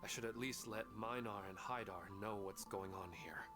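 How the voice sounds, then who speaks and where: A man's voice: on the low side, normal speed, lightly acted. Man as a humble, mild-mannered father. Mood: resigned. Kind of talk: video game voice acting. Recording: music behind the voice.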